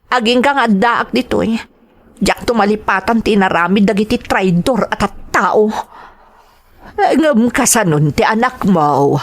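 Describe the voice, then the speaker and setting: A woman playing a wronged, determined grown daughter in a radio drama, speaking in an earnest, emphatic way. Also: a little high. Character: wronged, determined adult daughter and young mother.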